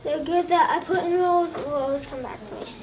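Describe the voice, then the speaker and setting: Kid reading out a school report, high voice, far off, noisy room. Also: brisk. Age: child, about 8 or 9. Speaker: third grade student presenter. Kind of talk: recited school presentation as a historical figure. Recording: distant mic, loud room noise.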